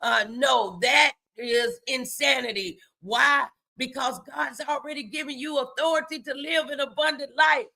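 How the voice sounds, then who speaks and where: A woman's voice: sits high, pushed and bright. A woman hosting a faith-based motivational livestream, speaking emphatically and repeating key phrases to exhort her listeners.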